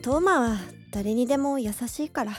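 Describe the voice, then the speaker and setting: A woman's voice: fairly high pitch, moderate pace, over music, natural voice. An actress in a radio drama playing an earnest young woman who hides her love for a childhood friend. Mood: awkward, placating. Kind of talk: Japanese radio drama acting.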